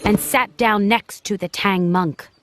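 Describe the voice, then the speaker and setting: A woman's voice: medium pitch, unhurried. A woman reading scripted narration for a children's story. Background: music.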